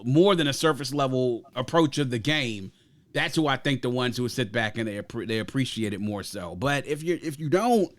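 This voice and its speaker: A man hosting a casual podcast album review, talking at length in winding sports analogies and steering the discussion. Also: medium pitch. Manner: long rambling sports analogies; leads the discussion, asks others for picks. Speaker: podcast host. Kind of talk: casual music review chat.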